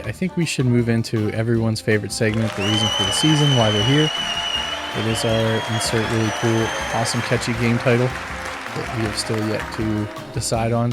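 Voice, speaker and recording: A man co-hosting a podcast, chatting casually and rambling with plenty of fillers. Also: on the low side. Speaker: podcast co-host. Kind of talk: casual chat.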